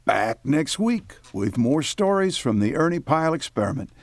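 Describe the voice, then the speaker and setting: A man's voice: on the high side, very animated, normal speed, dry recording. An actor playing a folksy, assured male announcer for a radio serial, reading scripted lines. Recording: music behind the voice.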